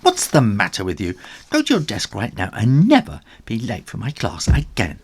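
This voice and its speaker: A man's voice: fairly low pitch, huge pitch swings, normal speed, slightly rough, heavily acted. A man voicing a stern, exasperated female cat schoolteacher in a children's storybook. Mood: scolding. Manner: exasperated, bossy.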